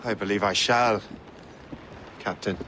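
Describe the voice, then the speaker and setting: An actor playing a confident, wry young ship captain in a dramatic video game. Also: male voice, lowish voice, fairly even intonation, unhurried, bright, forceful tone. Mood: dry, composed. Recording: wind and sea noise behind. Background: ship at sea, wind, water.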